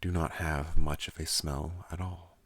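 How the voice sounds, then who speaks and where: A young man hosting an ASMR channel, speaking softly and calmly close to a studio microphone, slipping into whispers. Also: dry recording. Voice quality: deep gravelly soft voice. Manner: slow, calm, partly whispered. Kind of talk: soft-spoken ASMR talk.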